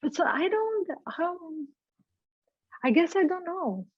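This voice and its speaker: A woman's voice: very high voice, sing-song, slow, no echo. A woman with a light Greek accent answering an interview question hesitantly, searching for words. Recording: dry, slightly muffled.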